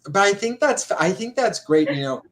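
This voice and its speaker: A man's voice: high-pitched, expressive, normal speed. A man hosting a casual livestream chat, effusive and gushing, calling guests honey and girl. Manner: chatty, effusive, calls people honey and girl. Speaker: livestream host.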